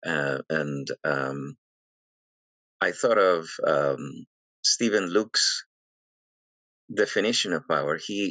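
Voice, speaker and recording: A man's voice: low-pitched, a bit bright, no echo. A man from Venezuela hosting an academic podcast interview, talking in a thoughtful way with many stammering restarts. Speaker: podcast host.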